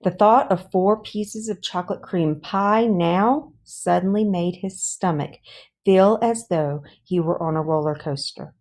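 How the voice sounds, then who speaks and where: Woman, children's audiobook reader, lowish pitch, very unhurried pace, expressive and deliberate storytelling. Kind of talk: expressive read-aloud storytelling of a children's book.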